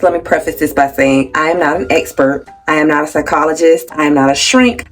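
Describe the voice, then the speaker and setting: Blunt woman vlogger, faint music. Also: on the low side. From Georgia, USA. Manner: blunt, emotional, swears freely. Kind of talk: candid personal storytelling.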